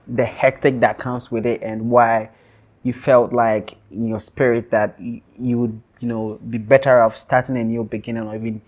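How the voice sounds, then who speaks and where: A man's voice: medium pitch, level tone, moderate pace, a little mellow. A man interviewing a guest, asking rambling questions with many fillers. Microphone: lapel mic.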